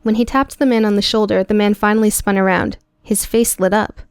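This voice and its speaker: A woman reading scripted audiobook prose in a measured, dramatic storytelling manner. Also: medium pitch, steady tone, clean tone, no room sound. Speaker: audiobook narrator.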